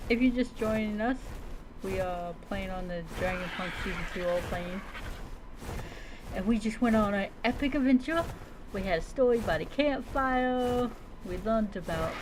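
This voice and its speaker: A woman giving chatty, casual gameplay commentary with light roleplay, thinking aloud to her viewers. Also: medium pitch. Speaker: game streamer. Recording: clearly noisy, background ambience.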